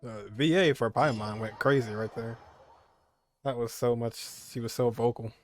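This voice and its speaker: A man's voice: medium pitch, normal speed, soft background music. A man giving casual, laid-back commentary over a video game he is playing, chuckling between reactions. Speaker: gaming streamer.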